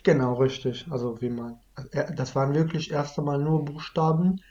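A man's voice: on the high side, moderate pace, warm tone, muffled recording. Young man. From Iraq. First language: Kurdish. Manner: hesitant, many restarts and fillers. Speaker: interviewee. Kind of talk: casual conversation.

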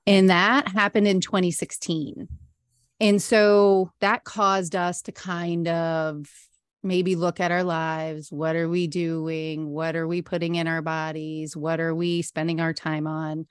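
A woman's voice: on the low side, little pitch movement, slow, clean tone, no room sound, clean studio sound. A middle-aged woman, a meditation instructor, talking earnestly as a podcast guest with frequent ums. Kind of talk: casual interview chat.

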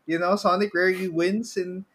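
A man talks casually as a podcast co-host. His voice sits a little high for a man. He speaks in a halting way, often repeating a word as he finds the next phrase. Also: little pitch movement, fairly bright tone. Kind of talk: casual chat about movies and games.

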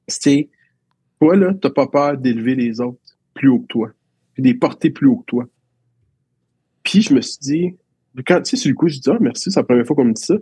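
A man's voice: medium pitch, normal speed, pauses often, mellow, husky, no room sound. A man with a Quebec French accent, a teacher reflecting on his schooling in a casual interview, telling stories with frequent restarts. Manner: reflective, storytelling with many restarts.

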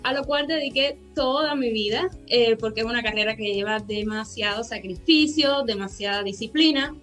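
A woman in her twenties from Cuba, a guest telling her life story in a radio interview, earnest and unhurried with many fillers. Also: a little high, fairly bright tone, faint music behind. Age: 20s. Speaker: interview guest, pageant winner and ballet dancer.

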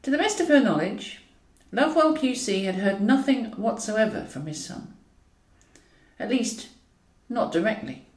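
A woman's voice: medium pitch, expressive, measured pace, a little mellow. A woman reading a comic novel as scripted narration, with a wry, dryly ironic way of telling it. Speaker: author reading own novel. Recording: roomy echo, distant from mic.

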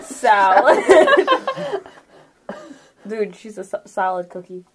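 A woman's voice: on the high side, huge pitch swings, extremely slow, over a noisy crowd. A woman co-hosting a podcast in casual banter, playful and given to dramatic exclamations. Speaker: podcast co-host.

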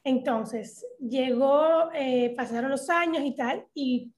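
A Cuban woman in her thirties with a Cuban accent, chatting as a guest over a video call, halting with many fillers and restarts. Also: sits high. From Cuba. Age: 30s. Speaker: interview guest, social media comedian. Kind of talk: casual interview talk.

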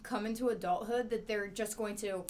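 A woman's voice: medium pitch, steady tone, normal speed, noisy background. A young woman of nineteen co-hosting a casual chat, talking freely with many "like" fillers and frequent laughs. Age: young adult. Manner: chatty, lots of "like" fillers, laughs often. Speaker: co-host.

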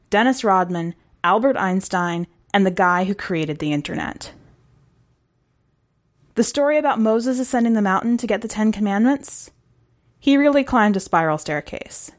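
A woman reading a first-person short story aloud in a conversational, wry way. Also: a little high. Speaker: story narrator.

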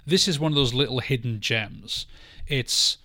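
A man's voice: medium pitch, a little slow, pushed and bright, no echo, studio quality. A man co-hosting a film podcast, speaking in a casual, conversational discussion style.

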